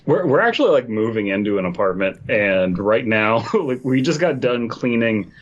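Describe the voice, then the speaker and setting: A man's voice: medium pitch, sing-song, normal speed, slight background noise. A man co-hosting a podcast in casual, joking group banter on a dull-sounding mic. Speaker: podcast co-host.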